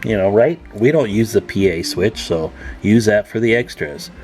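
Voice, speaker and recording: A man with a fairly low voice talks viewers through hands-on repair work. His delivery is casual and folksy, with joking asides as he thinks aloud. Music plays behind him. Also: normal speed, darkish tone. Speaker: hobbyist radio repair demonstrator, channel host.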